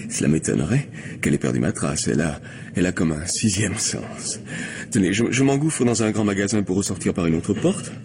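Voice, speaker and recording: An actor playing a glib, insistent gentleman in an old French radio play, talking a lot, wheedling and stammering. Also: low voice, animated, brisk. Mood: smooth flattering. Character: glib, insistent gentleman pestering a young woman. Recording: noisy old radio drama recording.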